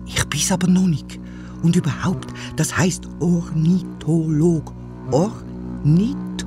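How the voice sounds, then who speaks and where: A nervous young boy with a know-it-all streak speaks in a raised, brighter voice, as a Swiss German man puts him on. His lines come quickly and insistently, with a Swiss German accent, in a read-aloud children's story. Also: sits high, big pitch swings, over music, voice pushed up. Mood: pedantic, nervous. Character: eager young boy, wants to be an ornithologist.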